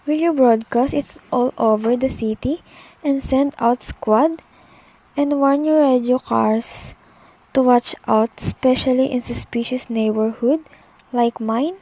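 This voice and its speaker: A woman's voice: high-pitched, a little slow, dark tone, rough recording. A young woman acting an anxious, demanding bedridden wife in an amateur radio play, pleading insistently and dramatically. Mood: urgent, demanding. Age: teenager.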